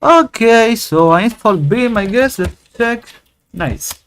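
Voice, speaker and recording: A man giving a casual, rambling software tutorial, joking and humming tunes as he goes. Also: extremely high pitch, animated, a little slow. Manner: playful, rambling, hums and sings tunes while waiting. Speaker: tech YouTuber. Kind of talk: casual screen-recorded tutorial.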